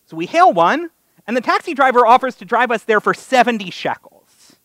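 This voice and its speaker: High-voiced North American man, very animated sermon. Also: normal speed, no room sound. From North America (US and Canada). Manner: wry, self-deprecating humour. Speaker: rabbi giving a sermon. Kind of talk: conversational storytelling sermon. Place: live synagogue service.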